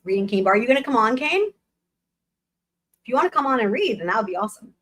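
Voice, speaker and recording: Hoarse young woman with sarcastic asides. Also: on the high side, big pitch swings, a little fast, a bit bright. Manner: sarcastic, blunt asides with swearing. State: losing her voice, hoarse. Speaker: livestream host reading a book aloud. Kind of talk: live dramatic reading with commentary.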